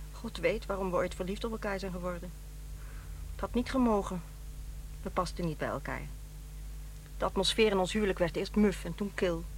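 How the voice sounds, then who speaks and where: A brisk middle-aged ex-wife, played by a female radio actor, speaking at a medium pitch. She is matter-of-fact and impatient, without sentiment, over a faintly humming, hissy recording. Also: lightly acted, rough recording. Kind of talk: naturalistic radio play acting.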